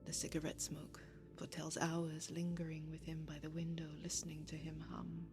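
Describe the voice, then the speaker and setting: A woman playing a grieving, heartbroken lover in a poetic audio-drama monologue, measured and reflective, with breathy pauses. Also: low voice, completely flat delivery, music in the background. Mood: wistful, grieving.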